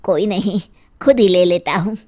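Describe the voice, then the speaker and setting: A woman voicing an ordinary, excitable village husband in a dramatized folk tale. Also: medium pitch, moderate pace, pitched below the performer's own voice, natural voice. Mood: casual.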